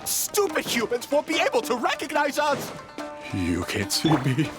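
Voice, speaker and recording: Feisty high-pitched little tanuki boy. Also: huge pitch swings, normal speed, music in the background. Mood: frantic. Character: small feisty young male tanuki yokai, mischievous. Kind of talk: video game voice acting.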